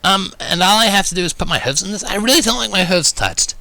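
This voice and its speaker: Casting: man as cocky cartoon pegasus girl, pitched up, casual, brash, loyal. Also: lively intonation, fast, creaky, sharp tone, no room sound, full character voice, poor audio. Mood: flustered. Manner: casual, teasing.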